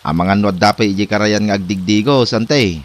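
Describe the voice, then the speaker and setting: A man's voice: lowish voice, a little fast, natural voice. A man playing a talkative nephew in an Ilocano comedy drama, with an Ilocano accent. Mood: chatty. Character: talkative, matter-of-fact nephew.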